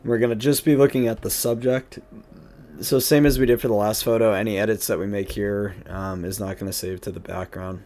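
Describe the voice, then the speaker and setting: A young man with a hoarse, gravelly voice chats casually through a live stream, explaining each step of his photo editing and answering viewers. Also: fairly low pitch, steady tone, brisk, dark tone. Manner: chatty, talks through each step, greets chat. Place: home room at a desk. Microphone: headset.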